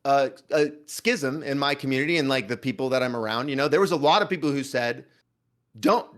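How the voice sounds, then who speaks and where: A man in casual conversation, talking earnestly and haltingly, often repeating himself as he goes. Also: mid-range voice, a bit bright. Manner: earnest, halting, hedging with repetitions. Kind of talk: casual chat.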